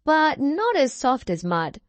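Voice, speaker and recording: Small storybook pig, earnest and plaintive, slow and lilting; female reader acting it out. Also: sits high, wildly swooping pitch, dry recording, pitched above the performer's own voice, exaggerated voice. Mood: musing. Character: small pig, earnest little mud lover. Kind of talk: read-aloud children's storybook.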